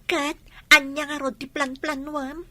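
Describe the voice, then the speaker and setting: An actress playing a doubtful, exasperated young friend in a radio drama, questioning everything in an Ilocano Filipino accent. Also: female voice, high-pitched, level tone, a little slow, bright, forceful tone, exaggerated voice. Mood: incredulous. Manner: questioning, incredulous.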